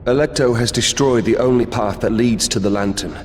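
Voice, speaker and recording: An actor playing a grave, weary fugitive in a video game, speaking solemnly and fatalistically. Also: male voice, fairly quick, lightly acted. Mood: grave, resigned. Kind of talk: video game dramatic acting. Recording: long cavernous reverb, faint rumbling noise.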